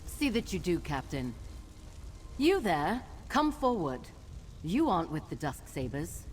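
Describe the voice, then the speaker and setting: Imperious fantasy game wizard lady, low-ish, very animated, dry and formal, noisy ambience behind. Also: unhurried. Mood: commanding. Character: haughty, commanding wizard noblewoman with mercenaries. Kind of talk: fantasy video game acting.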